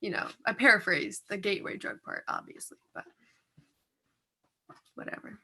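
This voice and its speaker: Woman cohost w a mid pitched voice, offhand and slangy, doesnt say more than she has to. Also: expressive, normal speed, bright, forceful tone, a little hiss or hum. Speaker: co-host. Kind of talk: casual chat.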